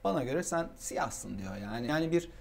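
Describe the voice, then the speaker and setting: A man's voice: mid-range voice, animated, normal speed, gravelly. A man hosting an interview, a native Turkish speaker who halts and searches for words in a foreign language. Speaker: host, interviewer. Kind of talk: interview questions.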